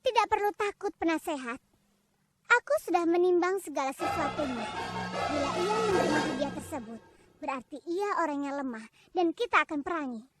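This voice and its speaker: Bossy cartoon queen, super high pitched, scolds ppl, loud music under it. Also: animated, fairly quick. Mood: commanding. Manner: imperious, scolding. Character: proud commanding young queen. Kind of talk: cartoon voice acting.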